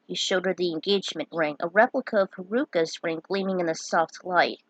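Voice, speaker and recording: A woman's voice: fairly low pitch, fairly quick, no room sound, low-quality recording. A woman reading a fan fiction story aloud, dramatic in her telling and given to opinionated asides. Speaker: narrator.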